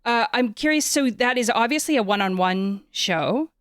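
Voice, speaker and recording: A woman's voice: on the high side, lively intonation, moderate pace, clear, resonant tone, no echo, clean studio sound. A woman hosting an interview in a casual, encouraging and informal conversational manner. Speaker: interview host.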